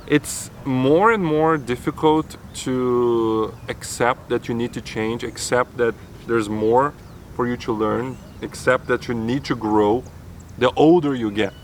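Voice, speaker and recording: A man's voice: medium pitch, slight background noise. A man talking casually in a vlog outdoors, rambling and restarting his sentences with chuckles. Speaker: vlogger. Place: outdoors, near a road.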